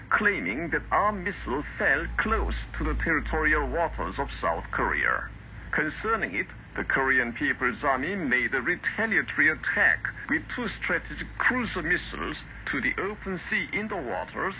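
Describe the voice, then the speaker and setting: A man reading a formal scripted news bulletin in a solemn, deliberate, declarative way as a radio announcer, heard over shortwave radio. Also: fairly high pitch. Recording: muffled, noisy shortwave radio broadcast.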